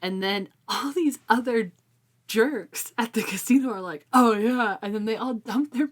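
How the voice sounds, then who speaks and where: A woman co-hosting a casual book discussion, retelling things in an animated way and acting out reactions. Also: fairly high pitch, unhurried. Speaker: co-host of a book discussion show.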